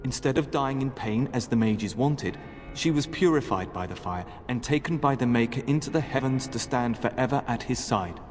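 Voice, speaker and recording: A man's voice: mid-range voice, expressive, moderate pace, natural voice. A man playing a stern, devout temple flame keeper in a fantasy video game, solemn, curt and preachy. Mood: solemn, dutiful. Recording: long hall reverb, music behind.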